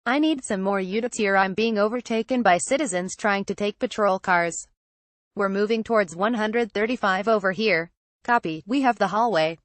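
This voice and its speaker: A woman's voice: medium pitch, moderate pace, dry recording. A woman reads scripted news aloud as a narrator, in a synthetic, literal manner. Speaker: news reader.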